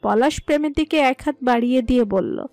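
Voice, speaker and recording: Woman reading a romance story, high voice, dry close sound, soft music. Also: fairly quick, no room sound. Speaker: story narrator. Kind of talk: scripted narration of a romance story.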